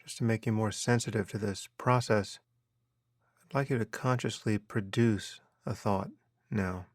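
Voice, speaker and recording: A man guiding a meditation as a teacher, giving measured instructions with unhurried pauses. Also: on the low side, fairly even intonation, no echo, studio quality. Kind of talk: guided meditation narration.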